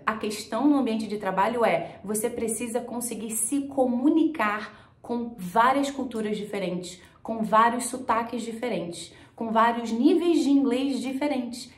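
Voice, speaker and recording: A young woman with a Carioca accent gives a lesson to the camera, emphatic and direct, firing questions at the viewer. Her voice sits a little high for a woman. The small room around her rings with a noticeable echo. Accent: Carioca Brazilian Portuguese. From Rio de Janeiro, Brazil. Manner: emphatic, talks straight to the viewer with rhetorical questions. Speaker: English teacher. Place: small indoor room with plants and shelves.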